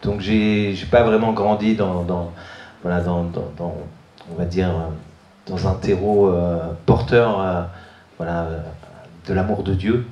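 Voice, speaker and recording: Hesitant soft-spoken man, echoey room. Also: sits low, steady tone, a little slow, highs cut off. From France. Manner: hesitant, many fillers and restarts. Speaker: guest giving a testimony. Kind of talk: personal testimony at a church youth event.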